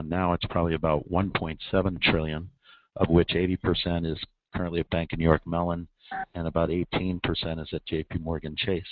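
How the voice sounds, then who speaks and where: Low-voiced guy on a conference call, tinny phone sound, explains stuff slowly with lots of ums. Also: dry recording. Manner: measured, explanatory, frequent ums and uhs. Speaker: repo market expert guest. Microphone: telephone.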